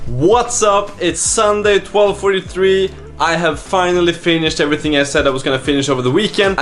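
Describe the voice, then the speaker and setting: A man's voice: on the high side, fairly bright tone. Casual man vlogging over music. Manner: informal, conversational, mild swearing. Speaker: startup vlogger and app developer. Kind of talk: casual video diary and app demo.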